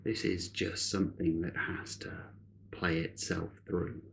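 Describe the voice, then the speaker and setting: A man guiding a meditation in a measured, solemn, soothing manner, with long deliberate pauses between short phrases. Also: deep voice, level tone, vocal fry, a little hiss or hum. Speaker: meditation guide. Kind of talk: guided meditation, spiritual narration.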